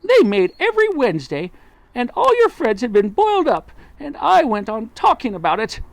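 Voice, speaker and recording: A reader putting on a fussy roly-poly bird, prim and offended, speaking high and raised. The sound is close and dry. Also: wildly swooping pitch, moderate pace, vocal fry, dry recording, rough recording. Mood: indignant.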